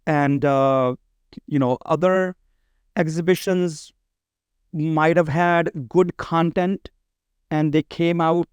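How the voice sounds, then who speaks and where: A man's voice: fairly high pitch, steady tone, slow, pauses often, clean tone, dry recording. A man talking casually as an interview guest, earnest and often repeating his phrases. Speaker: podcast guest, bridal expo organizer.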